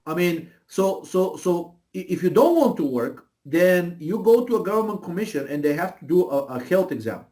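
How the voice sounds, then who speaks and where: A man with a slightly high voice and a Bulgarian accent speaks as an interview guest. He explains things in a conversational, emphatic way, often repeating phrases and tagging points with a quick check for agreement. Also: animated, mellow.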